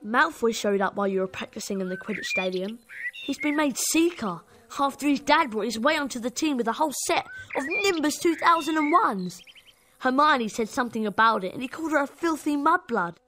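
A woman voicing an excitable, indignant young schoolboy wizard in a video game, blunt and animated. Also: high voice, brisk, noisy background. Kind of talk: video game cutscene acting.

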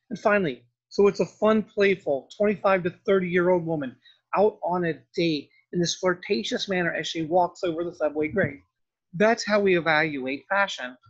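A man with a slightly high, husky voice delivers an informal lecture. He explains things with enthusiasm in the manner of a professor, on a dull recording. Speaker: film and art history professor. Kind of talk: informal narrated slide lecture. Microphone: voice-over on slides.

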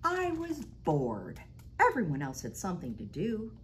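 A middle-aged woman reading a picture book aloud to children as a library storytime host, animated and sing-song, now and then dropping to a stage whisper. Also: medium pitch, a little slow, a little hiss or hum. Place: small library room, indoors. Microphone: lapel mic.

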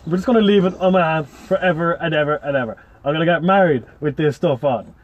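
A man in his thirties chatting and bantering casually for a vlog, jokey, sweary and excitable, recorded on a handheld device inside a van. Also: a little high, quick pace, muffled recording. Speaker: vlogger. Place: inside a parked camper van cab, door open. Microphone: phone or camera at arm's length. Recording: noisy handheld recording in a vehicle.

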